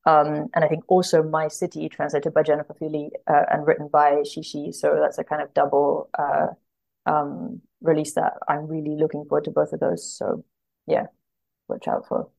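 Low, creaky-voiced woman in thoughtful conversation. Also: monotone, moderate pace, a little mellow, poor audio. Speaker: podcast guest, literary translator. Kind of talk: casual interview chat about books.

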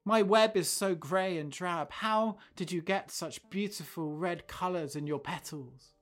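A man's voice: high-pitched, measured pace, a bit bright. A man voicing a plaintive little spider. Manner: pleading, repeats his questions. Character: small male garden spider, dissatisfied and plaintive.

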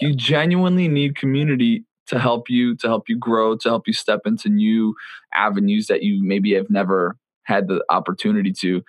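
Laid-back young podcast host, low voice. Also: male voice, no echo. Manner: laid-back, chatty, many fillers like "bro" and "you know". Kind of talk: casual podcast interview.

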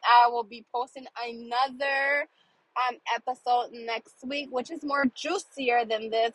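A woman's voice: on the high side, fairly bright tone, poor audio. A woman in her thirties hosting a casual monologue podcast, earnest and emphatic, repeating phrases for emphasis, sounding a little congested. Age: 30s. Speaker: podcast host.

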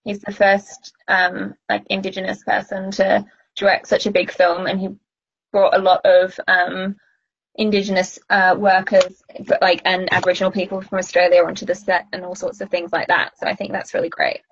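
A woman's voice: medium pitch, little pitch movement, moderate pace, creaky, a little hiss or hum. A woman co-hosting a casual film chat, explaining things earnestly with many fillers. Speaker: podcast co-host. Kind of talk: casual chat about films. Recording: dry, dull lo-fi sound.

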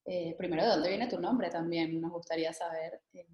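A woman's voice: lowish voice, fairly even intonation, a little fast, darkish tone, reverberant, lo-fi sound. A woman hosting an interview, asking questions in a casual, engaged way.

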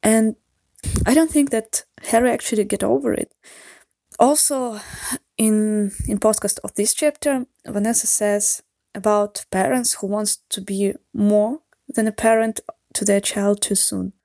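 A woman's voice: mid-range voice, steady tone, unhurried, gravelly, warm tone, no room sound. A woman from Russia with a Russian accent, a native Russian speaker, leaving a careful, earnest voicemail in English. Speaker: voicemail caller. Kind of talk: recorded voicemail message.

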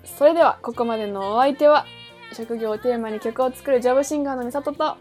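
A woman with a lightly high-pitched voice co-hosts a casual podcast. She talks like she is with a friend, slangy and animated in her reactions. Soft music plays behind her. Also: fast talker. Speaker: podcast co-host. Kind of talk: casual chat between friends. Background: background music.

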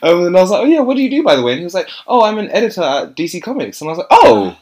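A young man, chatting casually as a podcast co-host and telling stories with lively quoted voices. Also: high voice, very fast. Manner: chatty storytelling, animated when quoting others. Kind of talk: casual chat.

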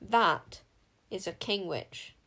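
A woman voicing a cool, grim male enchanter in an audiobook, measured and understated. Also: fairly high pitch, close to an everyday voice.

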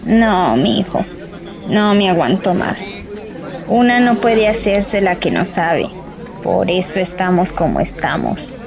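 A woman's voice: medium pitch, sing-song, slow pace, creaky, a crowd in the background, full character voice. An actress playing a concerned, outspoken female bus passenger with a Colombian accent in a radio drama. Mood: indignant. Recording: background noise and ambience.

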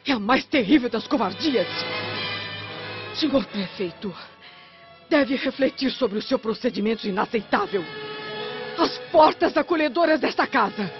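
A woman's voice: a little high, expressive, measured pace, long pauses. An actress playing a proud, formal Roman wife and lady of the house in a radio drama, eloquent and declamatory. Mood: indignant, reproachful. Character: indignant, dignified married Roman noblewoman defending her honour. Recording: muffled radio drama with faint music.

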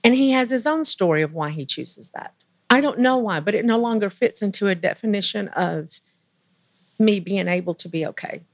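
A woman with a low voice telling a personal story as an interview guest, earnest and emotional, with pauses and restarts. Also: animated.

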